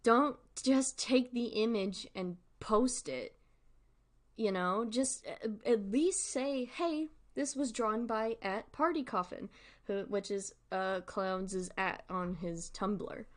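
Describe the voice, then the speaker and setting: A woman streamer talks candidly and casually to her viewers. She moves in a halting way, doubling back on words and repeating them for emphasis, with frequent little asides. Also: medium pitch. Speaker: streamer and artist. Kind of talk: candid casual livestream commentary.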